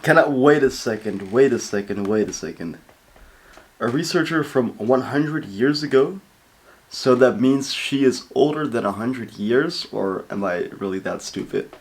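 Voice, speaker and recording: A man's voice: mid-range voice, animated, measured pace. A man hosting a reaction video, chatting and rambling casually to his viewers.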